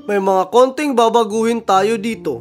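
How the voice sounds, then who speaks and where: A man playing a firm but encouraging royal father in an animated fairy tale. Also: high-pitched, moderate pace, soft background music, natural voice. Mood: scolding.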